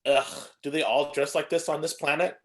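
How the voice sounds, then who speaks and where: A skeptical robot sidekick from space, voiced by a man, fussy and incredulous. The voice sits fairly high, in a superhero script table read. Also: level tone, normal speed, fairly bright tone, natural voice. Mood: disdainful.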